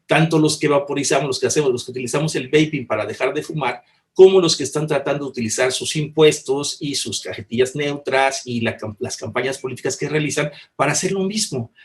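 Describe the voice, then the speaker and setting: A man's voice: medium pitch, a little fast. A man from Mexico, a panelist speaking earnestly in a conference talk, restarting phrases and explaining with everyday examples, heard over a video call.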